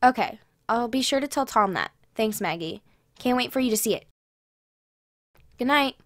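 Friendly casual woman, acted, higher pitch, dry recording, audio drama. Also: animated, normal speed, no echo.

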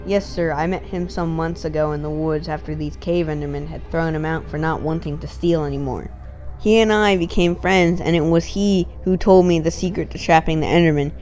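A woman's voice: sits low, fairly even intonation, a little fast, darkish tone, muffled recording, faint music behind, lightly acted. A woman voicing an earnest, brave young man, a loyal and firm village hero in a children's story. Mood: firm, defending.

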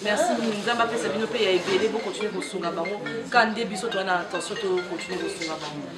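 A middle-aged woman from Congo who heads a foundation addresses staff and mothers in a hospital ward. She speaks spontaneously and thanks them warmly. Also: mid-range voice, fast talker, loud music behind the voice. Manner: warm thanking, mixing French and Lingala. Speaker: foundation head visiting a maternity ward. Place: crowded hospital ward, indoors. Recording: echoey ward, mic far off, loud crowd. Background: loud crowd chatter.

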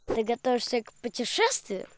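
A woman's voice: on the high side, sing-song, normal speed, no echo, studio quality. A woman voicing an eager, insistent little boy who explains things in a children's cartoon.

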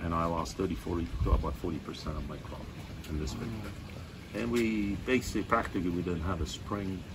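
A man's voice: low-pitched, brisk. A man in his sixties, originally from Lebanon, casually telling visitors about his winery on an outdoor patio. He talks plainly, rambles through asides and pokes fun at himself. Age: 60s. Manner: plain-spoken, self-mocking, rambling with asides. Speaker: winemaker hosting a tasting. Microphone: phone camera a few meters away. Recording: open-air phone recording with background noise.

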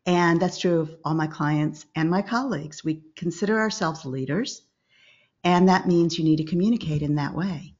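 Older female business coach, low calm voice, measured and instructive, slightly dull video-call sound. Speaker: podcast guest, business coach. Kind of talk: interview over video call.

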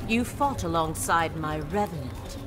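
A woman's voice: deep voice, animated, measured pace. A woman playing a proud, composed warrior bodyguard in a video game, speaking formally and with assurance. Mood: solemn. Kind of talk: video game character acting. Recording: loud music behind.

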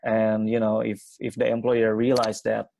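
A young man from Indonesia, an English teacher, answering interview questions quickly with many fillers and restarts. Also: lowish voice, completely flat delivery, gravelly, warm tone, a little hiss or hum. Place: small room at home.

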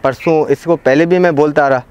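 A man's voice: on the high side, steady tone, brisk, darkish tone, clear, resonant tone. A man telling an interviewer about strange happenings on his land, talking hesitantly with many fillers and restarts, recorded outdoors by a film crew. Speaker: local farmer interviewee. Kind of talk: recounting events in casual conversation. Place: outdoors by a walled rural plot. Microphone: field recording by a camera crew.

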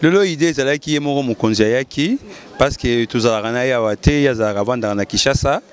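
A man's voice: medium pitch, quick pace. A middle-aged man, a local political organizer, speaks earnestly in a street interview, rallying listeners and repeating key phrases. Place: outdoors at night in a lit pedestrian square. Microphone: handheld mic held by interviewer.

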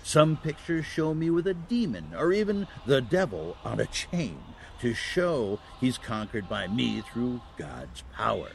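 A kindly old Saint Nicholas, acted by a man in a family audio drama. His voice is gravelly and mid-pitched, with animated intonation. He explains things patiently, hesitating like a genial grandfatherly figure. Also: measured pace, hardly any pauses, slight background noise.

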